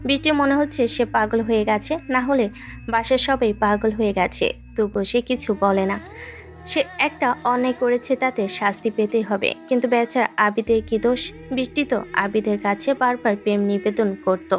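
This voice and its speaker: A woman's voice: fairly high pitch, moderate pace. A woman reading a scripted romantic story as its narrator. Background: background music.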